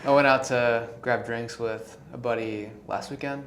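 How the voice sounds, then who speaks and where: A man's voice: medium pitch, steady tone, unhurried, a crowd in the background. A man in his thirties co-hosting a podcast, chatting casually and saying "like" and "dude" a lot. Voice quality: voice tires and goes hoarse easily. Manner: casual, says "like" and "dude" often. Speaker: podcast co-host. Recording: echoey room.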